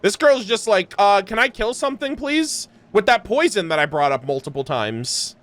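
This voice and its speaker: Male gaming streamer, high bright voice, slangy incredulous reactions. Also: expressive, normal speed. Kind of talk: casual game reaction commentary.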